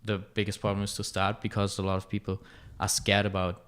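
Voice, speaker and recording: Low flat-voiced young man. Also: fairly quick. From Germany. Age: young adult. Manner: hesitant, many fillers and restarts. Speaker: student club director, podcast guest. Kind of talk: casual podcast chat.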